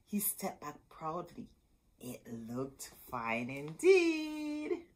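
A middle-aged woman reads a history picture book aloud for children. Her voice sits a little low and sounds husky, with very wide swings in intonation. The delivery is dramatic and emphatic, like a storyteller. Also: huge pitch swings, very slow, a little mellow. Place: indoor room with bookshelves.

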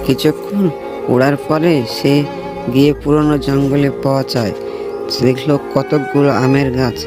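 A man's voice: mid-range voice, steady tone, normal speed. A man narrating a scripted children's fable as the storyteller. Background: background music.

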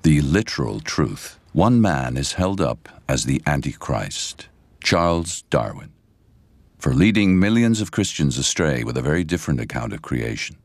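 A man's voice: extremely low pitch, sing-song, moderate pace, no echo. A man reading scripted narration for a documentary.

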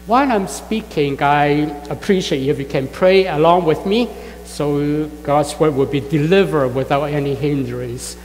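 Middle-aged Chinese-accented guest pastor, man, halting and filler-heavy, in a reverberant church with faint music. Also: a little high. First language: Fujian (Min Chinese). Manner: halting, many "uh" fillers, chuckles at himself. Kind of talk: sermon introduction to a congregation. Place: church sanctuary.

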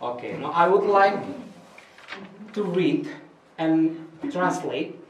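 A young man with Indonesian as his first language teaches a beginners' class, explaining slowly and patiently and repeating words for the students. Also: on the high side, expressive, far from the mic, highs cut off, a little hiss or hum. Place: small room with projector screen. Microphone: far camera. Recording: echoey room recorded from afar.

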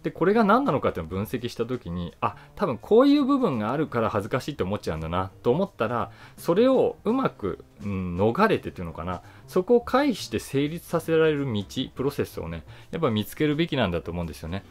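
A man's voice: medium pitch, wildly swooping pitch, fast talker, hardly any pauses. A man in his forties thinking aloud in a casual, reflective monologue to camera, stressing key words and trailing off into asides. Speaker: actor and voice actor hosting his own channel. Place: small indoor room, seated before camera.

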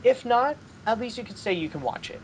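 A man's voice: very high voice, animated, a little fast, a little hiss or hum, poor audio. Rambling young man chatting on a headset. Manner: rambling, leans heavily on "like" and "pretty much"; enthusiastic, gestures while talking. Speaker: podcast host. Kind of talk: casual film discussion. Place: home room, indoors. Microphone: headset on a video call.

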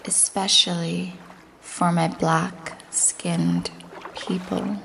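A woman's voice: on the low side, very animated, bright, forceful tone, a little hiss or hum. A woman leading a guided meditation with affirmations, speaking in a soothing way that at times drops toward a whisper. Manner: slow, soothing, breathy near-whisper at times.

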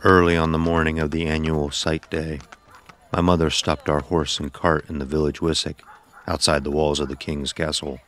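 An actor playing a weary old man narrating his dark memoirs in an audio drama, solemn and measured. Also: very deep voice, level tone. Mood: reflective. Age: older.

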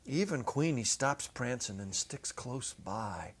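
Slow, theatrical male storyteller. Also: mid-range voice, expressive, breathy edge. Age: middle-aged. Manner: deliberate, theatrical, with drawn-out words. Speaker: story reader. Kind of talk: dramatic literary reading. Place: indoor set with holiday decor. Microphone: clip-on lapel mic.

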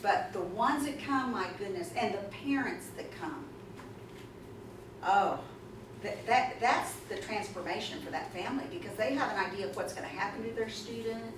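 A woman's voice: mid-range voice, normal speed. A woman presenting at a conference, talking in an emphatic, chatty way and taking questions from the audience. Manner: animated, emphatic, chatty with asides. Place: conference room, audience seated. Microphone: far camera in the audience. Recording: echoey room from far off, noisy.

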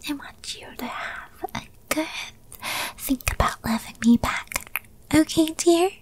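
A possessive young witch girlfriend, played by a woman, whispers in a high, breathy voice. She takes her time, cooing and coaxing like a lovestruck captor in an ASMR roleplay while keeping firm control. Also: a little slow. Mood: soothing, smug.

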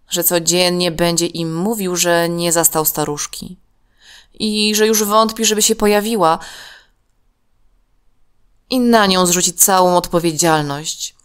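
A woman narrating a children's story from a script in an expressive, clearly enunciated way. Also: lowish voice.

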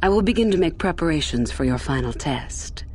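A woman playing a scheming, ambitious Sith teacher in a video game, with a measured, insinuating, formal manner. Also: low voice, lively intonation, a little mellow, a little hiss or hum. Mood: persuasive. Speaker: academy teacher. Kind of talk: scripted video game acting.

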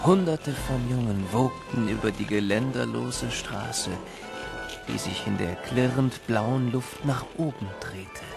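An actor as a wry storyteller, narrating his own adventure in a measured, vivid audiobook style. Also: medium pitch, creaky, over music. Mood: wonder. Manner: measured, descriptive. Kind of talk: scripted first-person audiobook narration.

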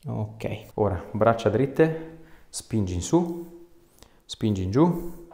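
A man's voice: on the low side, lively intonation, slow, creaky, dark tone. A man, speaking as a chiropractor in short, direct, reassuring instructions. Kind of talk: hands-on exam instructions and casual explanation. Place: small indoor treatment room. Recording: echoey small treatment room.

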